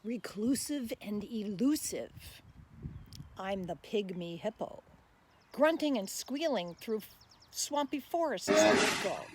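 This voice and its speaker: A woman voicing a small, shy, reclusive pygmy hippo in a rhyming picture book, with drawn-out, emphatic delivery. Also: on the high side, slight background noise.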